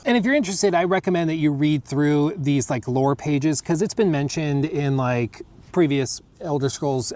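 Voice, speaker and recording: A man's voice: fairly high pitch, a little mellow. A young American man chatting casually on a podcast, rambling with lots of fillers as he reads out game lore. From United States. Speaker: podcast co-host. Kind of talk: casual chat reading lore.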